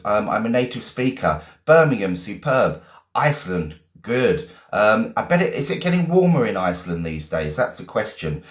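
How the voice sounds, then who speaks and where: English guy teaching a live lesson, low voice, chatty, lots of ums, echoey room. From England, Essex. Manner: chatty, greets viewers one by one, many "um" fillers. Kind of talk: casual live-stream lesson.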